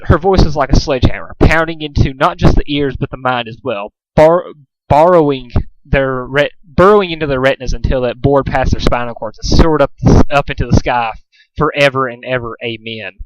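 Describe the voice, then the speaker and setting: A man's voice: mid-range voice, dry recording. A man reading a story aloud as an amateur narrator, informal and stumbling over words. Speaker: amateur fan fiction reader. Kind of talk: storybook-style narration, read aloud casually. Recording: dull lo-fi home recording.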